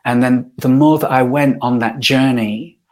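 Guest: middle-aged man, even mid-pitched voice, unhurried and reflective, lots of ums. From Yorkshire, northern England. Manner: thoughtful and unhurried, with frequent ums and pauses. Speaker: podcast guest. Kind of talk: reflective personal storytelling.

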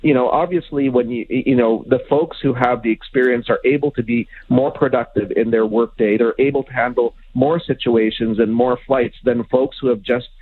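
A man giving expert commentary as an interview guest, explaining in a measured, halting way. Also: mid-range voice, fairly even intonation, breathy edge. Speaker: aviation consultant, interview guest. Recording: thin phone-like line.

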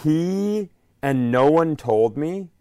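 An American man chatting casually as a podcast co-host, with halting delivery and self-deprecating humour. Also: on the high side, expressive, dark tone, dry recording, clean studio sound.

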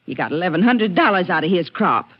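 An actress playing a scolding yet cowed farmer's wife with a rural Southern accent, plaintive and folksy, in a 1950s radio drama. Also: female voice, fairly low pitch, sing-song, quick pace. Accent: rural American Southern. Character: nagging but cowed West Texas farmer's wife. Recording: dull vintage radio recording.

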